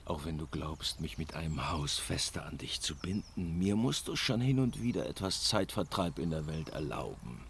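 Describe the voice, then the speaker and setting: An actor playing a proud, evasive, power-hungry god in a German fantasy radio drama, grandiose and often breathy. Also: male voice, rumbling low, lively intonation, moderate pace, few pauses, fairly bright tone, a little hiss or hum, exaggerated voice. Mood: placating, defensive. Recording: music behind the voice.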